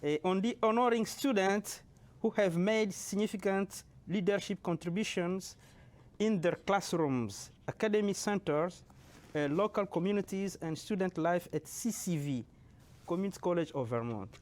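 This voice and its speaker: Guy hosting a local tv interview, bit high voice, stumbly, repeats stuff. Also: a little mellow. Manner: halting, repeats words, reads out documents. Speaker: TV show host. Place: local TV studio.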